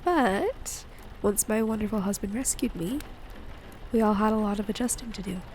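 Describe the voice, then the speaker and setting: A woman playing an affectionate, playful wife and mother in an intimate audio roleplay, speaking in a gentle, teasing way. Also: mid-range voice, moderate pace, a little hiss or hum, close to an everyday voice, rough recording. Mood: reflective.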